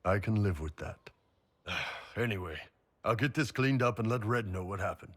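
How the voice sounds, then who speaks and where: A hulking horned mercenary captain for a fantasy game. The voice sits low and is rough and husky. He talks bluntly and casually, with a dry, wry edge. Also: normal speed. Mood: resolute. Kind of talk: video game voice acting.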